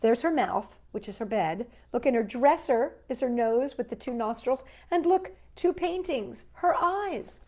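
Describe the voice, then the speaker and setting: A woman's voice: on the high side, animated, unhurried, hardly any pauses, warm tone. A woman hosting children's storytime, reading picture books aloud with enthusiastic, chatty asides to young viewers.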